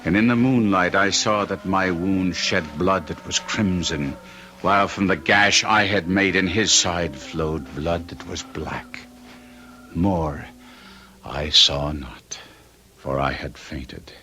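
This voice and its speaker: Tormented young gentleman, very deep voice. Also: slow pace, exaggerated voice. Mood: solemn, haunted. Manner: theatrical, formal archaic phrasing, intense. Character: Guido, tormented young gentleman recounting a duel with a monster. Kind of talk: old-time radio drama acting.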